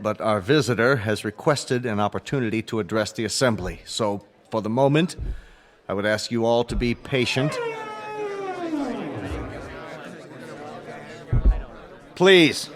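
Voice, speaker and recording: Actor as formal statesman, medium pitch. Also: big-room sound, lightly acted. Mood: firm, formal. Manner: formal, deliberate, halting announcements. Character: sober United Nations secretary general, official and unsettled. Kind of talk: radio drama acting, press briefing.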